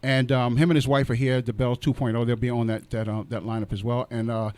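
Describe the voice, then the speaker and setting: A man's voice: mid-range voice, little pitch movement, fast talker, darkish tone, clean tone, no room sound. A man hosting a radio show in a casual, joking way, often repeating himself. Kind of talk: casual chat.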